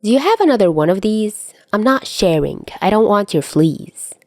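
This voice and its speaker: A woman's voice: medium pitch, expressive, moderate pace, a little mellow, no room sound, clean studio sound, pitched above the performer's own voice, lightly acted. A woman voicing a smug, fussy teenage boy who teases and condescends in an audio story. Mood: haughty bickering. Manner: teasing, condescending.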